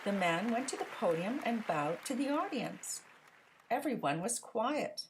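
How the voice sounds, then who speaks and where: A woman narrating a children's story aloud in an expressive, theatrical storytelling manner. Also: fairly high pitch, measured pace, a faint crowd, slight background noise, low-quality recording.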